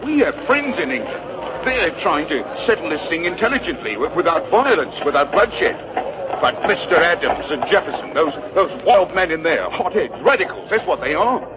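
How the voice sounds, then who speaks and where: A man's voice: a little high, very animated, normal speed. A man playing an indignant, flustered loyalist merchant in a vintage radio drama, stammering and repeating himself as he blusters. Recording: dull old radio recording, crowd noise.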